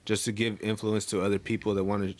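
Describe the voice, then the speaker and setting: A man's voice: on the low side, little pitch movement, quick pace, darkish tone, a little hiss or hum. A young man chatting casually as a podcast guest, laid-back and full of slang. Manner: laid-back, slangy, says "you know what I mean" a lot.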